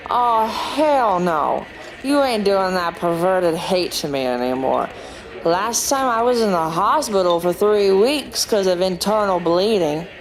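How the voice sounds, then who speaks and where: A woman's voice: medium pitch, lively intonation, measured pace, lightly acted, rough recording. A woman playing a defiant, streetwise prostitute in an audio drama, blunt and confrontational. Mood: indignant.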